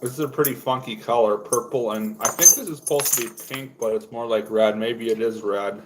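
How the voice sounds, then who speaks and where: A man's voice: fairly quick, background noise. Rambling young man on a home livestream. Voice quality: low voice. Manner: rambling, relaxed, lots of "like" fillers. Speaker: fishing livestreamer. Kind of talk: casual livestream chat while crafting lures. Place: indoors at home.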